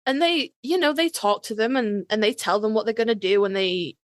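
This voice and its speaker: A woman's voice: a little high, fairly quick, dry recording, studio quality. A woman hosting a podcast in casual, conversational chat. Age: adult, parent. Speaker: podcast host.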